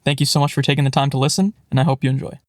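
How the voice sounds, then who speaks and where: A man in his twenties, a student producer, reading a scripted introduction to his audio piece in a quick, even way. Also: medium pitch, dry recording, clean studio sound. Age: 20s.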